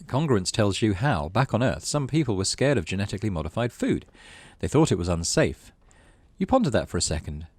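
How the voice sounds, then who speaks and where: A man's voice: fairly low pitch, a little mellow, slightly rough, no room sound. A man giving a casual let's play commentary, thinking aloud and reading story text from the game. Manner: thinks aloud while playing. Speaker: gaming channel host playing a visual novel.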